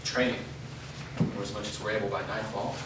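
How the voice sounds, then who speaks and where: A man's voice: on the low side, steady tone, a little fast, close to an everyday voice. A man playing a terse, grim fighting leader in a staged fantasy drama reading. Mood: grim resolve. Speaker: actor. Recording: echoey room, distant mic, clear noise.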